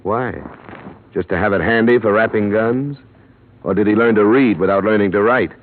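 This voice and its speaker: A man's voice: fairly low pitch, moderate pace, natural voice. A man playing a terse hard-boiled investigator. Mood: probing. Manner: terse first-person narration. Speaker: insurance investigator narrator. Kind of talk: 1950s radio drama narration. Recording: tinny old radio broadcast with music.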